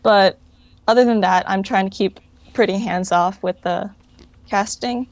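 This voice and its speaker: A woman's voice: mid-range voice, measured pace, no echo. A woman answering interview questions casually, in an informal, rambling way that often corrects itself. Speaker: interview guest, fan project founder. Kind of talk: casual chat.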